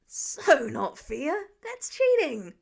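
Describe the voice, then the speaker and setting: Woman doing a high, cocky young boy. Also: wildly swooping pitch, very slow, vocal fry, full character voice. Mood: protesting.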